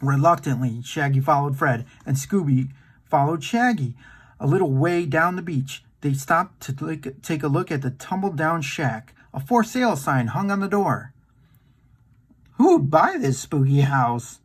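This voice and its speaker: A man reading a children's storybook aloud in an animated storytime style. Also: a little high, moderate pace, breathy edge.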